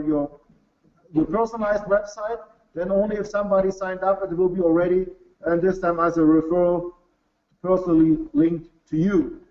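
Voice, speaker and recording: Man, German accent, non-native English speaker, fairly high voice, earnest halting webinar presenter, dull lo-fi audio. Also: a little mellow. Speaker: company executive presenting to a webinar.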